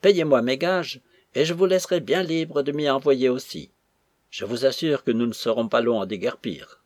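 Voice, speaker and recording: A man's voice: mid-range voice, brisk, slightly rough, dry recording, clean studio sound, natural voice. A man voicing a drunk, insolent male footman with slurred speech in an audiobook. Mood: defiant.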